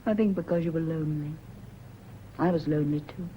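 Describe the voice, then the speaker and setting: A woman's voice: sits low, fairly quick, natural voice. An actress playing an anxious, guilt-haunted woman with a British accent, nervous and brooding in a radio drama. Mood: reminiscing. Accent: British English. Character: anxious, guilt-haunted woman, former live-in servant or companion. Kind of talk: British stage-style radio drama acting. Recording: dull, hissy old radio recording.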